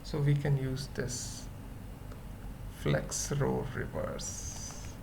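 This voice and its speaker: A tutorial presenter thinks aloud at a very slow pace, in short murmured pieces broken by long pauses. The voice is of middle pitch and full of vocal fry. The recording is clearly noisy, with keyboard typing behind it. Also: little pitch movement. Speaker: web developer giving a tutorial. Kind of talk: unscripted coding screencast, thinking aloud. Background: computer keyboard typing.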